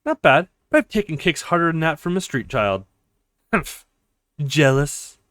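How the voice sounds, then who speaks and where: A man's voice: fairly high pitch, very animated, measured pace, fairly bright tone, voice pushed up. A man voicing a cocky, taunting young male fighter from a visual novel, with a swaggering delivery. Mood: cocky teasing.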